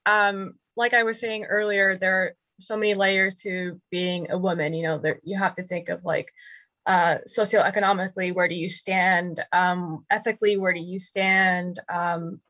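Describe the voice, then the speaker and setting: A young woman whose first language is Spanish, reflecting candidly and casually in a group discussion, thoughtful and halting, with easy laughter. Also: on the low side, fairly even intonation, fairly bright tone, no room sound, muffled recording. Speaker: panel guest.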